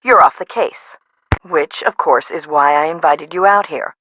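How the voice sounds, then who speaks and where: A woman's voice: sits low, unhurried, fairly bright tone, highs cut off, a little hiss or hum. A woman playing a blunt, businesslike amusement park boss in a video game, with a matter-of-fact manner. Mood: stern, annoyed.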